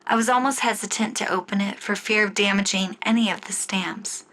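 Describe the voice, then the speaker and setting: A woman narrating a scripted first-person story in the style of an old-time radio show, with deliberate storytelling phrasing. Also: mid-range voice, a bit bright, rough recording. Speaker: writer and narrator of an audio story.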